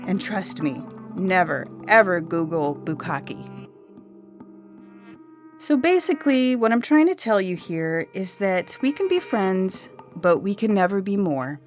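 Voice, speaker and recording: A woman's voice: medium pitch, big pitch swings, unhurried. A woman reading a comic story as a chatty, blunt young woman abducted by aliens, with a breezy, emphatic, slangy delivery. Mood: chatty, knowing, then gently firm. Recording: music under the voice. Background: music.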